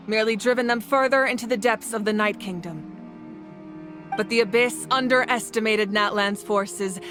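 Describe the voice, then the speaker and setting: A woman acts out a commanding female war leader from a video game. Her voice sits fairly high and carries formal, stately, measured speeches with solemn resolve. Music plays clearly behind her. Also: natural voice. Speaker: leader addressing her people.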